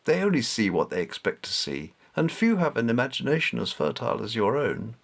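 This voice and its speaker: A man's voice: medium pitch, very animated, a little fast, vocal fry. A man voicing an imperious, self-assured magical cat goddess in a storybook, speaking formally and condescendingly. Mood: haughty, reassuring.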